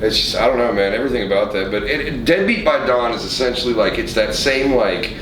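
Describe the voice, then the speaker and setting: A middle-aged man hosts a casual film chat, sitting well back from the mic. The room echoes around him and a rumble sits under his voice. He tells stories bluntly, swearing freely, at a medium pitch. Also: fast, far from the mic. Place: indoor radio studio. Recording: roomy studio, off-mic, clear rumbling noise.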